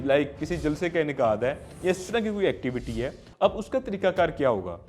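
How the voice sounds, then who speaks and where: A man's voice: fairly high pitch, slight background noise. A man with a Pakistani accent presenting an explainer to viewers as a legal host, speaking formally and emphatically with rhetorical turns.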